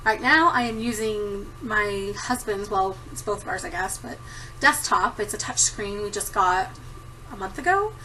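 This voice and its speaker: A woman's voice: mid-range voice, expressive, normal speed, bright, forceful tone, distant mic, a little hiss or hum, low-quality recording. A woman in her thirties chatting casually to camera, giggling often and rambling with lots of ums. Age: 30s. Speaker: vlogger answering a tag. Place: home room at a desktop computer. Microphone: computer camera mic.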